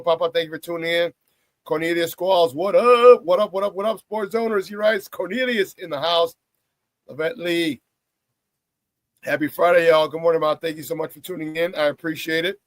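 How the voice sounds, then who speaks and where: A hoarse, raspy man hosting a morning sports talk show, riffing playfully in a casual, hype-man style. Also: high-pitched, no room sound. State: hoarse from a cold.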